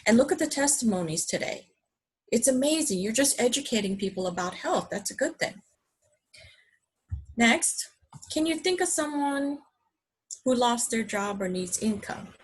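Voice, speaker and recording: A woman's voice: on the high side, lively intonation, normal speed, pauses often, husky, low-quality recording. A woman from Hawaii giving an earnest, enthusiastic motivational presentation, often asking her listeners rhetorical questions. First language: English. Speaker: business presenter.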